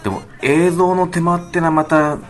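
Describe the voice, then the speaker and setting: A man talking on a radio show, explaining things politely with frequent drawn-out hesitations. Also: a little high, steady tone. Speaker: band leader, guest talker on radio show. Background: background music.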